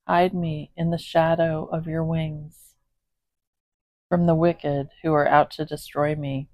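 A low-voiced woman talks slowly and casually at home, recorded off-camera on a phone. She breaks into apologetic asides before settling into a steady reading of a psalm. The sound is close and dry, with no room echo. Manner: slow, chatty, apologetic asides. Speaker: host reading scripture. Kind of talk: casual chat and Bible reading aloud. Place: home, indoors, voice behind camera filming a cat.

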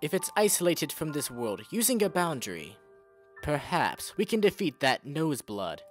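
A man acts a confident, haughty deity for a fan comic dub. The pitch moves widely and the tone is shrewd and faintly mocking, with music underneath. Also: big pitch swings, moderate pace. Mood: assertive. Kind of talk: amateur comic dub acting.

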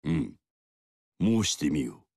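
A commanding warlord who speaks with grave authority. His voice is very deep and his delivery slow and measured. The recording is dry and close. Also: big pitch swings, gravelly, darkish tone, no room sound, full character voice. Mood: approving. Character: commanding older male warlord, dignified. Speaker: game character voice. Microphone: dry studio mic.

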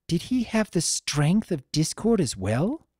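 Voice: medium pitch, very animated, a little slow, a little mellow, dry recording, studio quality, pitched above the performer's own voice. A narrator voicing a formal, earnest female pony princess in a dramatic story reading, with archaic, stately diction. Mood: alarmed, earnest.

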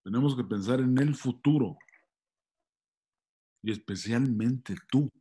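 An actor in his sixties playing a stern, serious old grandfather in a deliberate way at a video-call table read. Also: medium pitch, mellow, lightly acted. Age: 60s. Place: indoor room on a video call.

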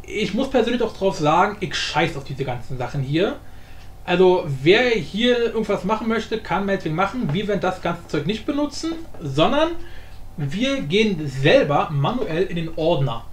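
A man giving a casual software tutorial in an informal, blunt, rambling way. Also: high-pitched, big pitch swings, normal speed. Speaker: tutorial presenter. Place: indoor room, voice-over for screen recording. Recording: roomy echo, light background noise.

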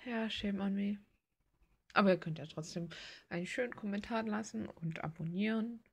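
A woman's voice: mid-range voice, moderate pace, vocal fry, husky. A woman chatting casually in an informal, rambling, self-deprecating way, as a video creator talking about her art. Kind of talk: casual chat over finished drawing. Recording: faint background noise with clicks.

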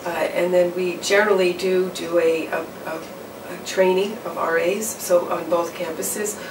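A middle-aged woman explains things in a measured, low and fairly flat voice, pausing on fillers and restarting phrases. The mic sits at a distance, so the sound is roomy, with steady background noise. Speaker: campus counseling professional. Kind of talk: interview answers. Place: office or studio in front of a backdrop.

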